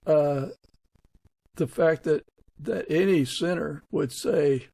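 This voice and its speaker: A man's voice: a little high, takes it slowly, gravelly, warm tone, breathy edge, dry recording. A man hosting a casual interview podcast, putting questions to a guest and responding. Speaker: podcast host.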